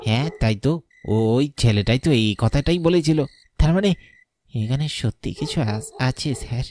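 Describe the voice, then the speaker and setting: A man's voice: mid-range voice, expressive, normal speed, darkish tone, slight background noise, lightly acted. A man playing a timid young police assistant, hesitant and stammering, in a horror cartoon. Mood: uneasy.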